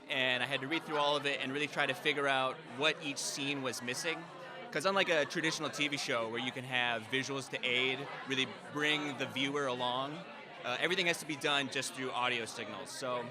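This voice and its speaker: A man explaining his work in a measured way as an interview guest. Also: mid-range voice. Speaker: music composer and editor being interviewed. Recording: busy crowd chatter behind the voice.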